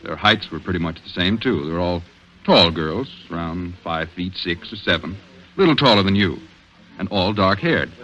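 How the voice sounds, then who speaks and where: An actor playing an earnest, worried police detective in 1940s radio drama style, brooding and terse. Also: male voice, sits low, expressive, normal speed, sharp tone. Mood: discouraged. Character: earnest, worried male police detective confiding in his sweetheart. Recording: dull vintage radio recording.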